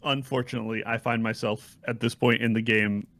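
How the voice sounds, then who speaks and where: A man co-hosting a podcast, delivering a game verdict in short, measured clauses in a conversational style. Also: mid-range voice, level tone, a bit bright. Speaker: podcast co-host. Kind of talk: casual video game review discussion.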